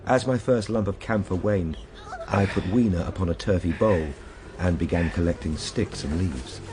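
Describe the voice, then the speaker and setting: A man's voice: low voice, moderate pace, music in the background, natural voice. A man playing a time traveler recounting his ordeal in solemn, literary radio drama narration. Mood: grave, tense. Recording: radio drama over loud background ambience.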